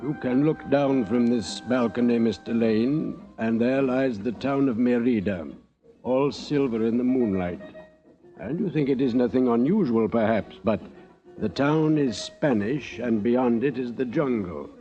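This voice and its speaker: An actor playing a mysterious, formal archaeologist in an old-time radio drama, speaking in a portentous way. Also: male voice, medium pitch, a little slow, muffled recording, close to an everyday voice. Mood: solemn, brooding. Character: solemn, mysterious scholarly male archaeologist, formal and secretive. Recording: vintage radio recording, music behind.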